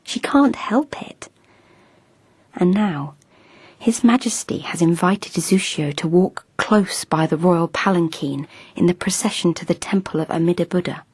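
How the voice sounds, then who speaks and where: A woman's voice: on the low side, a little slow, darkish tone, husky, no room sound. An actress playing a lovestruck young noblewoman in a radio drama, talking in an eager, breathless, whispery way. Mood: proud, whispery.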